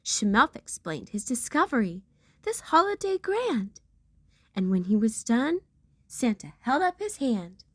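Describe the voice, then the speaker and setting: A woman's voice: a little high, very animated, a little slow, fairly bright tone, no room sound, studio quality. A woman reading a rhyming children's picture book aloud with theatrical storyteller emphasis.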